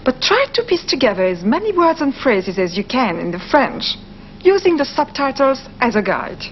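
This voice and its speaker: A woman co-presenting a language course, explaining in a clear, careful way. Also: high-pitched, big pitch swings, a little slow. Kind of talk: scripted educational narration. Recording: dull, slightly noisy TV soundtrack.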